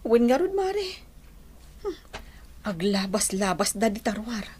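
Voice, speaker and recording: A woman's voice: mid-range voice, big pitch swings, unhurried, darkish tone, slight background noise. A woman acting a humble, hesitant and fearful Filipino village neighbour in an Ilocano radio drama. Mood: worried.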